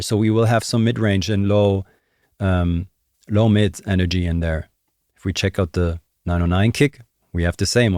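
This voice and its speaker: A young man with a fairly low voice talks through a casual lesson as a music production tutor. He explains things conversationally, as if thinking aloud. The close mic in a small room sounds dry, with some music behind him. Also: a little mellow, dry recording. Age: young adult. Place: small indoor home studio. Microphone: close desk microphone, headphones on. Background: music and drum samples.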